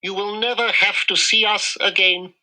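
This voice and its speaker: A man's voice: sits high, fairly even intonation, moderate pace, bright, forceful tone, pitched above the performer's own voice, rough recording. A man voicing a small male mouse dentist in a storybook, firm, precise and formal in manner. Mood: politely assured.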